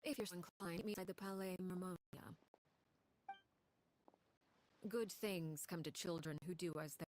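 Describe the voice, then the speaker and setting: A woman playing a poised, menacing noblewoman in a video game, coolly patient and sly, with a measured, formal, condescending delivery. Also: lowish voice, fairly even intonation, a little mellow, natural voice. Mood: quietly menacing.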